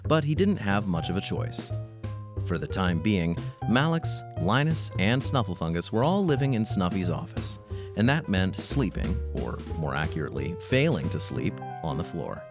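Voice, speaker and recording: A man acts out a tired, put-upon fellow in a comic audio drama. His voice sits low and swings expressively as he grumbles with dry sarcasm. Faint music plays underneath. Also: very animated, normal speed. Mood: weary narration. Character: weary, exasperated, cursed young man, narrating and grumbling. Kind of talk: comedic audio drama narration and dialogue.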